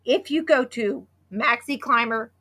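A woman in her fifties co-hosting a podcast, chatty and excitable, hoarse from losing her voice. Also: fairly high pitch, measured pace. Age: 50s. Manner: chatty and excitable, with many restarts. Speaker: podcast co-host. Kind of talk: casual podcast chat with sponsor read.

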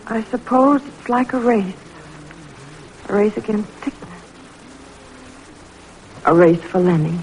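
A woman's voice: medium pitch, takes it slowly, pauses often, darkish tone, rough recording. Worried mother on old-time radio. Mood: hushed, hopeful. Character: worried, plain-spoken mother of a sick boy. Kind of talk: 1940s radio acting. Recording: old radio recording with faint noise.

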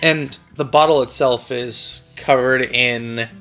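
A man's voice: mid-range voice, steady tone, takes it slowly, bright, forceful tone, faint music behind. A man co-hosting a casual bourbon tasting chat, speaking in a dry, matter-of-fact and knowledgeable way. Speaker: co-host of a bourbon show.

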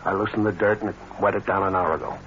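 A low, smooth American schemer from an old radio crime play. He talks in clipped, hard-boiled slang with cold calculation. The recording is dull and slightly noisy. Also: fairly even intonation, quick pace, fairly bright tone. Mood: menacing. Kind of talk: vintage American crime radio drama acting.

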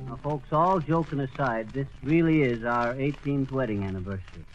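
An actor playing a folksy, bumbling middle-aged husband in an old radio comedy, with an easygoing way of talking. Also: male voice, medium pitch, moderate pace, highs cut off, over music, natural voice.

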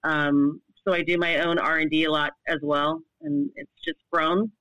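A woman in her fifties, a longtime bartender and brand ambassador, answering interview questions in a loud, well-projected, animated voice. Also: sits low, moderate pace, no room sound, highs cut off. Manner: animated, laughs often.

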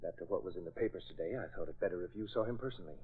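An actor playing a hesitant, deferential private secretary in a 1940s radio drama, speaking apologetically. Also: male voice, low voice, racing, breathy edge. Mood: apologetic. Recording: muffled narrow-band vintage radio recording.

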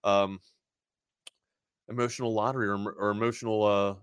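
A man's voice: on the low side, little pitch movement, moderate pace, clean tone, no room sound, clean studio sound. A man co-hosting a sports podcast in casual, slangy talk, peppering his points with man and bro.

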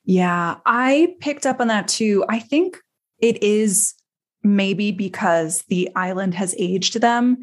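A woman co-hosting a podcast, talking casually about a TV series in an enthusiastic, expressive way. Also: mid-range voice, takes it slowly. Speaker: podcast co-host.

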